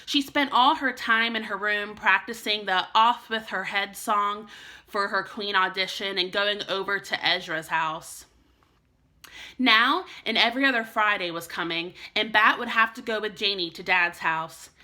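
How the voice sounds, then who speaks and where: A woman teacher reading a children's storybook aloud in an expressive way meant for young listeners. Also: medium pitch, measured pace.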